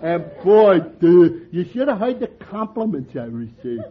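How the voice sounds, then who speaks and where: A dim-witted, cheerful barfly, talks in a high, sing-song voice with a slow, dopey innocence. The sound is 1940s radio comedy acting. It is a dull old radio broadcast with heavy hiss. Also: male voice, sing-song, some chatter behind.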